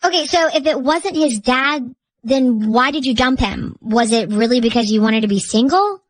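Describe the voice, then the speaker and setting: A woman's voice: high-pitched, normal speed, low-quality recording. A woman co-hosting a radio show, asking casual questions in conversational banter.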